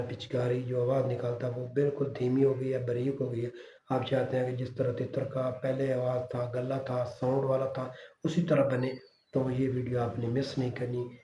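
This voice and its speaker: A man with a Pakistani Urdu accent explains bird care to his channel's viewers in a steady, reassuring way. His voice is mellow and flat in pitch. The room is echoey, with a faint hum of ambience behind him. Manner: reassuring, repeats "theek hai" as a check-in. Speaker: bird-keeping channel host. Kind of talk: casual how-to talk to camera.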